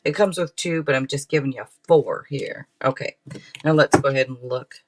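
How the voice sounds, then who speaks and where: A man with a mid-pitched voice gives a casual live tarot reading. He talks in a chatty, rambling way and often breaks off for playful asides to the people watching. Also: normal speed. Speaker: tarot reader and livestream host.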